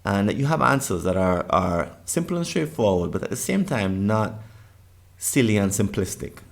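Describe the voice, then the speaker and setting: A man's voice: on the low side, very animated, normal speed, gravelly. A middle-aged man with a Jamaican accent, earnestly presenting a summit session and often restarting his phrases. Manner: earnest, with frequent restarts and repeated words.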